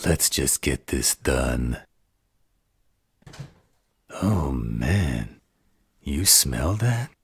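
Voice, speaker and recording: A man voicing a gruff, resentful, defiant werewolf in a roleplay audio drama, gravelly, raspy and blunt. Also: a bass voice, sing-song, extremely slow, pauses often, full character voice.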